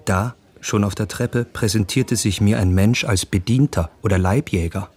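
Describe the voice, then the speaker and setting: Uneasy young nobleman, low voice. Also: moderate pace, a little mellow, slightly rough. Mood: self-reproachful. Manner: reflective, terse observations. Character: young Austrian minor nobleman traveller, observant, uneasy, self-reproachful. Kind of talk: interior monologue in literary radio drama.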